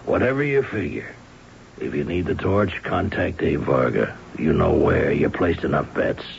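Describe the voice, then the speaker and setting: Old radio gangster bookie, super deep croaky voice, cold blunt threats, muffled noisy recording. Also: male voice, lively intonation, exaggerated voice. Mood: quiet menace. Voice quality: gravelly. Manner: blunt, unhurried, coolly threatening. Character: cold, menacing older male bookie and loan shark. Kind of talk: 1970s radio drama acting.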